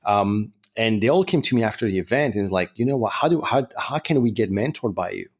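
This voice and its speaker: A man's voice: fairly low pitch, animated, brisk. A middle-aged man with a French Canadian accent hosting a podcast, chatting casually and rambling with many restarts and fillers. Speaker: podcast host. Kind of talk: casual chat.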